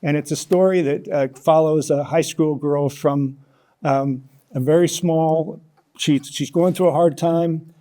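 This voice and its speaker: An older man with an American accent speaks as a guest in an interview. His voice is soft-edged and mellow, sitting a little higher than most men's. He tells his stories thoughtfully, pausing and picking his way through the sentences. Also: fairly even intonation. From United States, Kansas. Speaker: interview guest, author and former sports journalist. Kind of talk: conversational interview answers, reflective storytelling.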